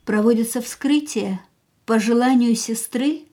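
An actress in a radio play, voicing a grieving young woman in a quiet, stunned inner monologue that often drops to a whisper. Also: on the high side, a little slow, slight background noise, lightly acted. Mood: whispering grief.

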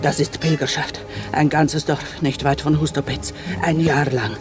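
An actress playing a pious, guilt-ridden old maidservant, halting and deferential, in a radio play. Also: female voice, deep voice, fairly even intonation, music in the background. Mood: brooding. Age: older.

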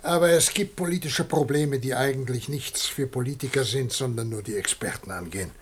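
An actor playing an authoritative president of a future world at war, speaking formally in a 1960s German radio drama. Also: male voice, medium pitch, brisk, a little hiss or hum, natural voice. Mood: grave, resolute. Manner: formal, commanding.

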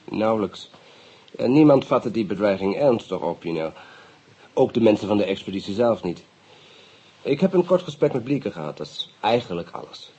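A man acting a courteous, guarded British police investigator in a radio play, speaking in a measured, matter-of-fact way with polite English phrases. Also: on the low side, very animated, mellow, slightly rough, muffled recording. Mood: matter-of-fact, grave. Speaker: police officer. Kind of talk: radio drama dialogue.

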